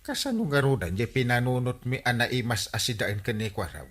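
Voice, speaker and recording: A man with an Ilocano accent playing a lazy, excitable village captain in a radio comedy drama. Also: mid-range voice, brisk. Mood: exclamatory.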